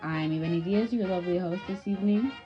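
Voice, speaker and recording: A woman's voice: fairly low pitch, quick pace. A young woman hosting a scripted 1920s-style radio news bulletin, with a formal, theatrical announcer delivery. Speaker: radio show host and news reader. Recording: muffled lo-fi audio with music behind. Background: music.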